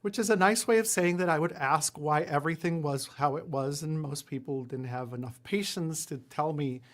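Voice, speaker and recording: Casting: fairly high-pitched man, forties, Spanish first language, rambling and self-deprecating. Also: normal speed. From Puerto Rico. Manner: rambling storyteller with frequent fillers and self-deprecating asides. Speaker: guest, lawyer and entrepreneur. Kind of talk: casual interview chat.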